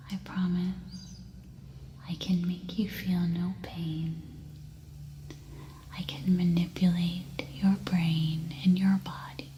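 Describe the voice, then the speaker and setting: A woman playing an unhinged, coaxing cannibal survivor in an ASMR roleplay, in a soft breathy whisper that soothes and menaces. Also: on the low side, slight background noise. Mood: coaxing, menacing.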